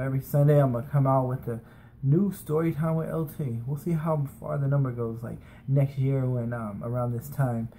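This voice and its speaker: A man's voice: medium pitch, a little mellow, slight background noise, poor audio. An American man in his thirties telling personal stories in a casual, informal, rambling way, like a vlogger talking to a camera in a bedroom. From United States, from San Francisco and Las Vegas. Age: 30s. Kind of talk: casual chat, anecdotal storytelling. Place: small bedroom, indoors. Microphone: webcam or camera at desk distance.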